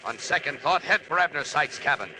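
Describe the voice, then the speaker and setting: An actor playing a confident, commanding superhero in a stern, mock-polite way, in the style of a 1940s radio serial. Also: male voice, fairly high pitch, lively intonation, brisk, a crowd in the background, lightly acted, rough recording. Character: heroic alien superhero, confident, commanding and wry. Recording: old radio recording, heavy background noise.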